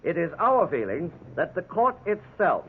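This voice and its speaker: An indignant American defense attorney in a 1950s radio drama. His high voice is rough-edged and rises and falls animatedly in formal courtroom oratory, heard through a thin, phone-like old recording. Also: huge pitch swings, normal speed, a little hiss or hum, full character voice.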